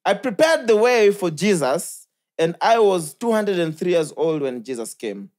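A man's voice: high-pitched, very animated, normal speed, darkish tone. A young man with a Kenyan accent chatting casually as a podcast co-host, mixing in Swahili and slang, close on a studio mic. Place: small furnished living-room style studio. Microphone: close podcast mic on boom arm.

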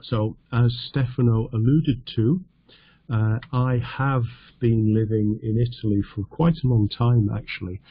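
A middle-aged man from North Yorkshire, teaching an online lesson over slides in a halting, conversational way with a self-deprecating humour. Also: medium pitch, fairly even intonation, breathy edge, dry recording, highs cut off. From North Yorkshire, England. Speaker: English teacher.

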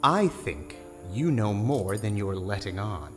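A man playing a menacing, smooth-talking crooked federal agent in a 1920s audio drama, taunting and mock-polite. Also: on the low side, lively intonation, slow pace. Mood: menacing, pressing. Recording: long reverberant hall sound, faint music behind.

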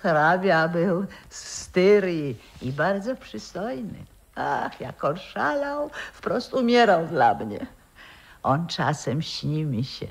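A proud, dreamy old former diva reminisces in a radio drama. Her delivery is slow and halting, with a husky voice that rises and sinks expressively. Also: on the low side, very animated, exaggerated voice. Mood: wistful. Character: wistful proud old grandmother, former opera singer. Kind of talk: 1960s radio play acting.

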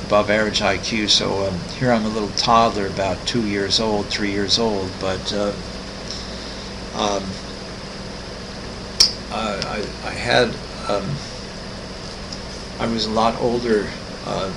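A man in his sixties, an artist walking through his website, talking casually with many ums, pauses and restarts. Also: fairly low pitch, flat delivery, noisy background. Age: 60s. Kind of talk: casual screen-recorded walkthrough.

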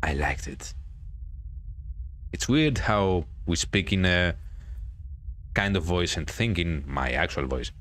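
A man's voice: low voice, measured pace, a bit bright. A man hosting a gaming video, casually reacting as he reads a visual novel aloud.

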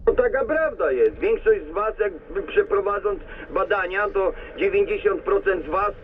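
A man's voice: sits high, lively intonation, moderate pace, distant mic. A man in a profane, lecturing rant among drivers over CB radio. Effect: CB radio transmission. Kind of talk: ranting casual CB radio chat. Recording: narrow, noisy CB radio in a vehicle.

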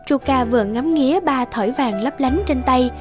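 High-voiced woman telling a fairy tale. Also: moderate pace, soft background music. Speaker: narrator. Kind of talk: scripted children's fairy tale narration.

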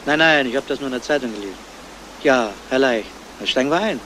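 An actor playing a composed police detective who probes in a knowing, unhurried way in a crime radio play. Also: male voice, medium pitch, lightly acted. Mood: probing, knowing. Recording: noisy old radio play recording.

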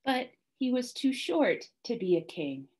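A young woman reading a children's story aloud like a teacher, deliberately and with dramatic emphasis. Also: on the high side, big pitch swings, a little mellow. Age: young adult. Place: small classroom, indoors, by a whiteboard.

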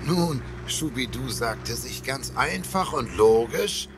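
A man's voice: mid-range voice, lively intonation, slow, faint music behind. A man narrating a children's audio drama in a scripted storytelling style. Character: storytelling narrator and castle tour guide.

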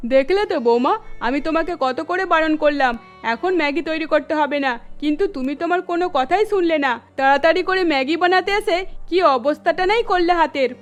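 A woman acts out a middle-aged mother-in-law for a cartoon. Her voice is high and firm, scolding yet caring. Faint music plays behind her. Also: normal speed. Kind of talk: children's cartoon voice acting. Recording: music bed under the voice.

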